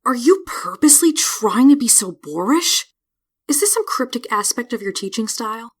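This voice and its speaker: A woman plays a foul-mouthed young princess in a comic dub. Her voice is high and animated, with sarcastic, cutting delivery. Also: normal speed, husky. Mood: annoyed. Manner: sarcastic, profane. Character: sharp-tongued, foul-mouthed young princess learning to fence.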